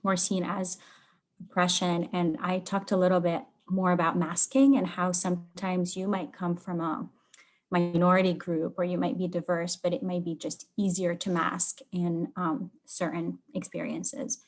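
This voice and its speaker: A woman's voice: lowish voice, normal speed. A woman from Lebanon sharing her group's discussion on a video call, speaking evenly with frequent fillers. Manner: even, flat delivery with frequent fillers. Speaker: workshop participant. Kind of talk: sharing in an online seminar.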